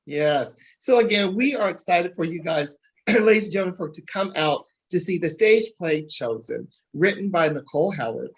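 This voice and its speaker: Interview host, medium pitch, promotional conversational delivery. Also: expressive, moderate pace, slightly rough.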